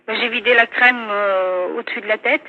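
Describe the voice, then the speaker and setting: A woman's voice: a little high, steady tone, moderate pace. A woman on a phone call, answering earnestly and compliantly in short, simple replies. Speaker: prank call target. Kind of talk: unscripted phone conversation. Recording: dry, dull telephone line.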